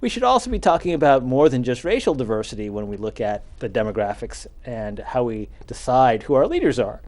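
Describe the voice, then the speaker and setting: A middle-aged man speaking as a talk-show guest. His voice sits at an ordinary pitch, and he explains things at a measured pace with frequent "uh"s and restarts. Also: sing-song, darkish tone. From Charleston, South Carolina. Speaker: guest, NAACP chapter president. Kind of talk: casual talk-show interview.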